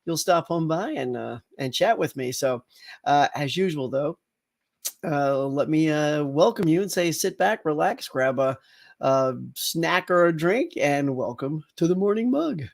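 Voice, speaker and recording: A man's voice: fairly high pitch, expressive, a little slow, creaky. A middle-aged man hosting a casual live morning chat, slightly congested and rambling with fillers as he talks to viewers. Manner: rambling, chatty, lots of fillers and restarts.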